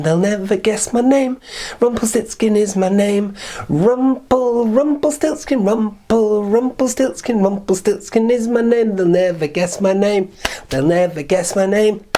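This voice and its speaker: Guy doing a little magic goblin-ish man for a kids story, way high voice, gloaty and touchy. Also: warm tone. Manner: sing-song gloating and indignant shrieking. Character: funny little magical man, gleeful, quick to rage.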